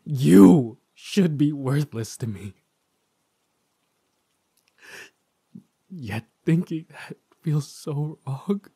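A man acting a slow, menacing possessing spirit. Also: a little high, lively intonation, gravelly, mellow, breathy edge, no echo, exaggerated voice. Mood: anguished. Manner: theatrical, slow and deliberate, dropping into whispers. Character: possessing villain spirit in a childhood friend's body, menacing, tormented. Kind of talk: scripted dramatic audio roleplay monologue.

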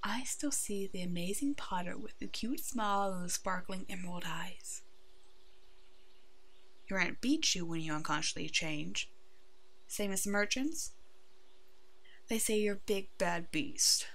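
A woman voicing a brave, protective werewolf in an ASMR roleplay, soothing and halting, with a soft, hushed delivery. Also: medium pitch. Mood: tender, protective.

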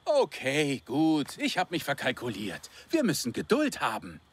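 An actor playing an enthusiastic, affectionate cartoon dad, earnest and explanatory, in a dubbed children's show. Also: male voice, on the high side, huge pitch swings, unhurried, husky, full character voice. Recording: music behind the voice.

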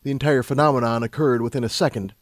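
A man reading scripted fiction aloud as an expressive story narrator. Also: mid-range voice, quick pace, no room sound, studio quality.

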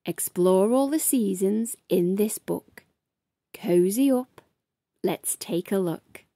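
A woman's voice: medium pitch, slow pace, gravelly, dark tone, no room sound. A woman in her thirties hosting a children's storytime, speaking expressively with a sing-song delivery straight to young listeners. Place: small furnished room at home, indoors.

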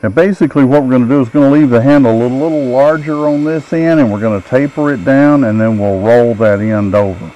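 A middle-aged man with a mid-pitched voice talks through his woodturning job in a calm, plain-spoken way. He is recorded close on a wearable mic in his home shop. A running lathe and tool noise are clearly heard behind him. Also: fast talker, hardly any pauses, muffled recording. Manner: calm, plain-spoken, thinks aloud while working. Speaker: woodturning instructor. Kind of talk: relaxed step-by-step workshop narration.

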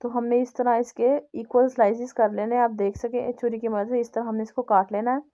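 High-voiced woman, dull lo-fi recording. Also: flat delivery, a little fast, warm tone. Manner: explains step by step, repeating instructions. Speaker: home cooking channel host. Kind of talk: instructional recipe narration.

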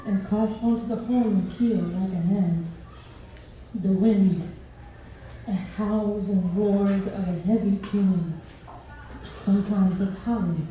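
Slow, dark-toned woman reading poetry. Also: medium pitch, a little hiss or hum. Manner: slow, measured, reading from a book at a mic stand. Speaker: poet. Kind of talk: spoken word poetry reading. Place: small performance venue stage, indoors. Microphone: stand mic, filmed from the audience. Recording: echoey, distant, dull venue recording.

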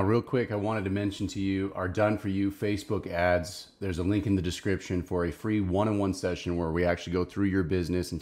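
Low-voiced man giving a tutorial. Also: darkish tone. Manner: instructional, step-by-step. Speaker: marketing tutorial host. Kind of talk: casual screen-share tutorial narration. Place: small home office, indoors.

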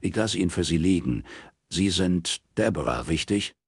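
A polite male investigator in a crime audiobook, acted by a male narrator. The voice is low and dryly recorded, with careful, measured questioning. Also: lively intonation, slightly rough, no echo, clean studio sound, heavily acted. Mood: polite, probing.

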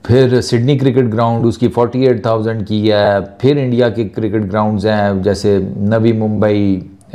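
Man, 30s; relaxed, joking sports host, mellow tone. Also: fairly low pitch. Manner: chatty and joking, mixing in English words, reels off lists. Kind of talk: casual talking-head sports commentary. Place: small furnished studio set indoors. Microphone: lapel mic.

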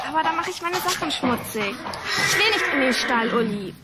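High-pitched snooty young girl. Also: heavy background noise. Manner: haughty, disdainful, whiny. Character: snooty, vain young girl film actress.

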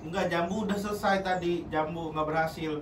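A man in his thirties, deadpan and teasing in a casual joking chat, sitting on the floor of a small room. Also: on the high side, brisk. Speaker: guest. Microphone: far camera. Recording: echoey room from far off, noisy.

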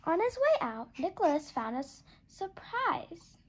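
Slow, high-voiced woman reading aloud. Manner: slow, gentle and expressive, with animal voices. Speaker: children's book reader. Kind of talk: read-aloud storytelling. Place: indoors at a table, turning a picture book.

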